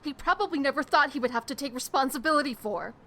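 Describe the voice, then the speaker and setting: A woman's voice: high voice, quick pace, pushed and bright. Woman acting as tough, weary big-sister type. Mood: weary, bitter. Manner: blunt, weary. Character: tough, protective, world-weary young woman of the slums. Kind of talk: audio drama acting.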